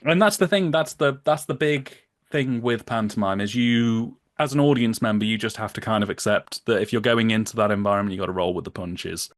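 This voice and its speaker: A man in casual conversational commentary, telling anecdotes in an amused, animated way. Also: fairly low pitch, a little fast, few pauses, no room sound, studio quality. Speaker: podcast guest.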